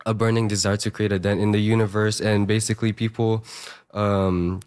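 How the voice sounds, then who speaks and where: A hoarse young man, a fresh high school graduate, talking earnestly with many fillers as a radio interview guest. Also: level tone, a little fast, darkish tone. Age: young adult.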